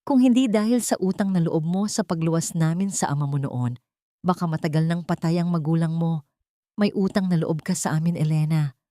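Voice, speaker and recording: A woman's voice: low voice, brisk, warm tone, no room sound, clean studio sound, natural voice. A woman voicing a haughty, spiteful elderly rich mother-in-law in a scornful, domineering manner for an audio story. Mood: menacing, scornful.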